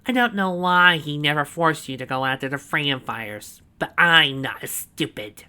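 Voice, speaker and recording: A man voicing a scheming male villain who gloats and drawls his words out slowly. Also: a little high, expressive, bright, forceful tone, smooth, voice pushed up. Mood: gloating.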